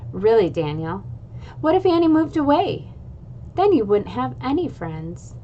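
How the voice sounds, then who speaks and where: A woman's voice: fairly high pitch, big pitch swings, measured pace, dark tone, muffled recording, slight background noise. A woman voicing a caring mother who patiently scolds her son, read aloud from a storybook. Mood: nagging. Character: caring, gently nagging mother of a boy.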